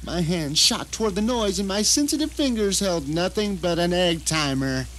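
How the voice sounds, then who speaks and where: High-voiced, melodramatic blind convict. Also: male voice, expressive, moderate pace, a bit bright, a little hiss or hum, poor audio. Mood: frantic, horrified. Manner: theatrical, melodramatic, self-righteous. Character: bitter, deluded blind man telling his story from death row. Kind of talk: dramatic first-person audio drama monologue.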